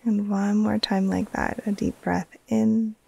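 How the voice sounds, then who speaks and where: Woman, meditation guide, very creaky low-energy delivery, soft breathy tone, flat soothing phrasing, long pauses. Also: background noise. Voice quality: breathy, soft, often trailing into near-whisper. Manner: slow, soothing, hushed, with long pauses between short phrases. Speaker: yoga nidra teacher, meditation guide.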